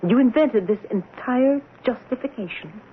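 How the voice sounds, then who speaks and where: Scheming, domineering doctor; radio drama, swooping high male voice, clinical and cutting. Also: animated, normal speed, slightly rough, full character voice. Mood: stern, belittling. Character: domineering psychiatrist, coolly belittling, secretly scheming. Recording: tinny old radio broadcast, faint hiss.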